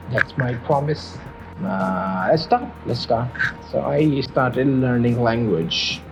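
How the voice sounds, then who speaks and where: A man's voice: medium pitch, muffled recording. A man from Pakistan whose first languages are Urdu and Punjabi, earnestly teaching a casual beginners' video lesson and often repeating himself.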